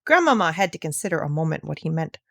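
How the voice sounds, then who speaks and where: A woman's voice: low voice, sing-song, fast talker, gravelly, fairly bright tone, no echo. Woman narrating a storybook, dry sound. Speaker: audiobook reader.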